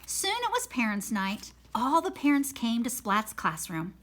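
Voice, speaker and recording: Slow, expressive woman reading a children's book. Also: fairly high pitch. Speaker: storybook reader. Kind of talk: children's read-aloud narration.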